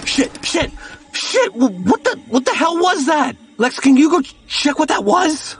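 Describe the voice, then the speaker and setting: An actor playing a stubborn, defensive young husband in an audio drama, argumentative and exasperated. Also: male voice, very high-pitched, sing-song, unhurried, noisy background, full character voice. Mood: panicked. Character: stubborn, defensive husband arguing on a road trip.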